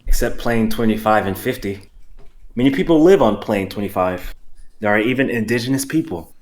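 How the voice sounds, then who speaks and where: A man's voice: on the low side, normal speed, a little mellow. A man reading stage directions aloud in a live dramatic reading, plain and matter-of-fact.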